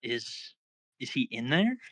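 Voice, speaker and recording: A man's voice: mid-range voice, big pitch swings, slow pace, a bit bright, full character voice. Flustered, anxious teenage guy, read by a man. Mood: uneasy. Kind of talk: script table read, conversational comic acting.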